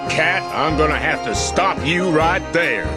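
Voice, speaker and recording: Indignant rich businessman, fairly high male voice, animated, defensive bluster, game cutscene, loud music. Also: unhurried. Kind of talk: scripted game voice acting.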